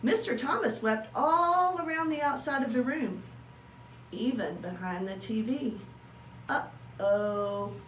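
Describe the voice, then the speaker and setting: A woman's voice: a little high, slow. A woman reading a children's story aloud as a teacher would, expressively and with acted-out dialogue. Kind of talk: animated children's storybook narration. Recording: roomy, distant mic, faint background noise.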